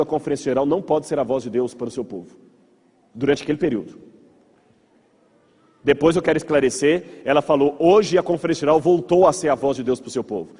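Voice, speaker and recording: A man's voice: a little high, darkish tone, slightly rough. A man with a Brazilian Portuguese accent, a pastor giving an informal storytelling lecture to a congregation, conversational, repeating words for emphasis and checking in with listeners. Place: large hall with audience. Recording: reverberant hall, slightly muffled voice.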